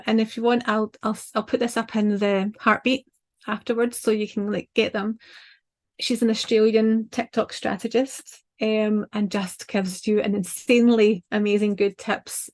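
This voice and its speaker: An older woman with a Scottish accent chatting casually as a guest, rambling and sharing social media tips with frequent ums and likes. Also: medium pitch. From Scotland. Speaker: designer and small business owner as guest.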